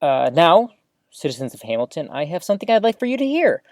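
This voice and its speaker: Low-voiced, animated, flustered radio host. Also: female voice, quick pace, dry recording, studio quality. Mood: eager, awkward. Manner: chatty, awkward, hesitant, self-interrupting. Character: flustered, eager, clumsy small-town radio host, an adult. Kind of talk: audio drama radio broadcast monologue.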